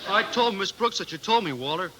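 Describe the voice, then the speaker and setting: High-voiced, dim teenage jock. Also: male voice, lively intonation. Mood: well-meaning. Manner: slow-witted, eager, mangling words. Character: dim, good-natured teenage male school athlete. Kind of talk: 1950s radio sitcom acting. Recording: noisy, crackly old radio recording.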